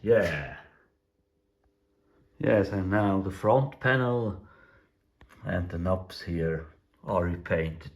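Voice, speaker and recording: Slow, low-voiced man with non-native English. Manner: halting, simple short phrases. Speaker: radio repair hobbyist presenting. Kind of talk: casual hands-on demonstration narration.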